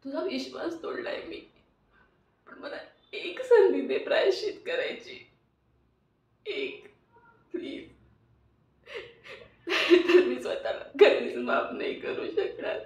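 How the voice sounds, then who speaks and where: A woman acting an emotional audition monologue as a remorseful young woman, tearful and halting as she pleads for forgiveness. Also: sits high, animated. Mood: pleading. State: crying. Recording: roomy, muffled, distant mic.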